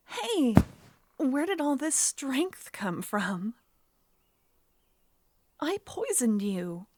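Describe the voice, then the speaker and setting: A woman voicing a clingy, menacing yandere witch in an ASMR roleplay, speaking in a soft, coaxing whisper. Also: sits high, no room sound, heavily acted. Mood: indignant, frantic.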